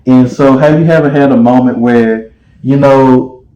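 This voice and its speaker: A man talks in a dramatic, expressive way as an interview guest. He rambles, restarts and fills with um and you know. His voice sits at a middling pitch and rings in a roomy echo. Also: a little slow, lo-fi sound. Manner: rambling with many fillers and restarts. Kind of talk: casual interview chat.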